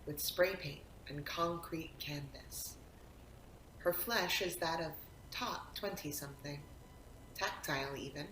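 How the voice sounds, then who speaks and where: A woman reciting poetry expressively, in a deliberate manner with dramatic pauses. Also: fairly bright tone. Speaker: poetry reader, actor. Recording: roomy echo, faint rumbling background noise.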